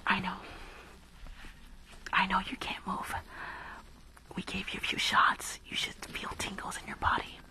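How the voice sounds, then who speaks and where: A woman whispering close to the mic in an ASMR roleplay as a rude dentist, halting and repeating herself with dismissive reassurance. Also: medium pitch, monotone, poor audio. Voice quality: breathy whisper with creaky edges.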